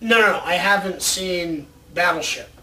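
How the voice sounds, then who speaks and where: A man co-hosting a casual chat show, pitching ideas with a fan's enthusiasm. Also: moderate pace, pushed and bright. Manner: enthusiastic, fannish. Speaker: co-host of a movie ideas show. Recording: distant mic with faint background noise.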